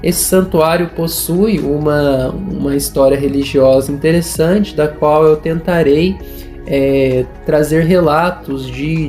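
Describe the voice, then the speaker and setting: A man's voice: fairly high pitch, mellow. A young man from northern Paraná with a caipira Portuguese accent, hosting a history podcast in an informal, hesitant way, with many fillers and restarts. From Norte Pioneiro, Paraná, Brazil. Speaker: podcast host, history student. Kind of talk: informal spoken podcast narration.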